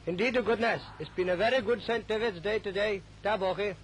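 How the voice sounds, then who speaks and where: Welsh man, high voice, 1930s newsreel remark, slightly noisy vintage recording. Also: fairly quick.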